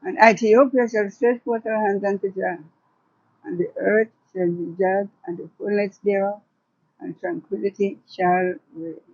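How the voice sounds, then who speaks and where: A woman's voice: medium pitch, fairly even intonation, unhurried, darkish tone. An older woman, speaking as a guest and poet in dull, lo-fi audio. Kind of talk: reminiscing in conversation and reciting own poetry.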